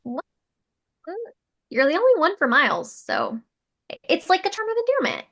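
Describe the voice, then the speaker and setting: A woman's voice: high-pitched, huge pitch swings, moderate pace, fairly bright tone, heavily acted. A woman playing an eager, slightly awkward young teacher in a sitcom table read, chatty and hesitant. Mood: chatty, wry. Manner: chatty, hesitant, people-pleasing. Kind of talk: sitcom table read, mockumentary talking heads.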